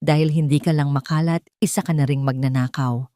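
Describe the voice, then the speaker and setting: Storybook villain: female reader as icy wealthy stepmother, deep voice, accusing and imperious, close dry sound. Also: fairly even intonation, fairly quick, no room sound, close to an everyday voice. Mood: angry, accusing. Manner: sharp, commanding, accusing. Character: cold, menacing wealthy stepmother, adult woman. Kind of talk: dramatic audio storybook dialogue.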